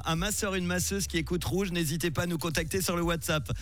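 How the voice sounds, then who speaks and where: A man's voice: high voice, fairly even intonation, fast talker, a bit bright. A man hosting a radio quiz game, playful and laughing often. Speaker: radio host. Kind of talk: lively radio game show.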